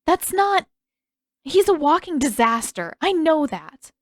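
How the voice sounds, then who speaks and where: Woman doing a feisty teen girl in an audiobook, defensive n wry, kinda high voice, stubborn, emphatic. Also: sing-song, slow pace, no echo, exaggerated voice. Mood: embarrassed denial.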